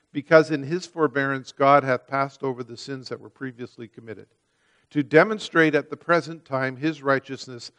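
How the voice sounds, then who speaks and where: Earnest man preaching a sermon. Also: medium pitch. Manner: earnest, repeats words as he restarts, rises and falls in loudness. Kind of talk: sermon with scripture reading.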